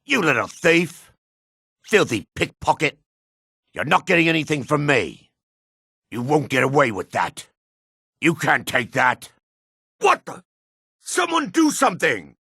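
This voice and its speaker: A gruff dark elf for a fantasy game, his voice raspy and forceful. He is suspicious and curt, with a sneering edge and pitch that swings widely from line to line. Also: wildly swooping pitch, a little slow, long pauses, no room sound, clean studio sound, full character voice. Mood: angry, accusing, then menacing, cold, then panicked shouting. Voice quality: gravelly, raspy. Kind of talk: video game character barks.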